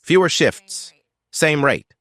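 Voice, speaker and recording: A man voicing a firm, independent woman cider maker with a measured, concise, plain-spoken manner in an audio drama. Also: fairly high pitch, sing-song, a bit bright, no room sound, voice pushed up, exaggerated voice. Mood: firm, level.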